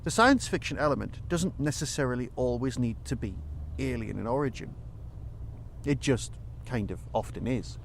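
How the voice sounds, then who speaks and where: A man's voice: mid-range voice, expressive, moderate pace, gravelly, slight background noise. A man hosting a review podcast, talking in an emphatic, conversational way full of rhetorical questions. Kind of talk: enthusiastic spoken audio drama review.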